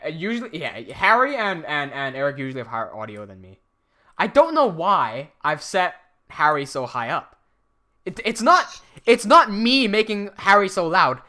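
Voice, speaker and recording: A man with an American accent hosts a casual live-stream Q&A. His voice is bright, slightly high and very animated as he reads out viewer questions and answers them on the fly. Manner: chatty, reads out viewer questions while fiddling with software.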